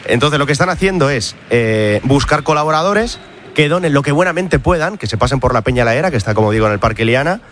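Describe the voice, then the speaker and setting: A man's voice: mid-range voice, animated, fast talker, a bit bright, slight background noise. A middle-aged man who contributes to a radio show, bantering in a mock-offended, playful way and reading announcements.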